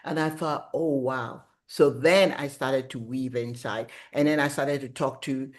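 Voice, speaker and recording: A middle-aged woman, speaking as an author in a casual interview, animated and reflective as she tells stories about her writing. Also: very deep voice, a little slow.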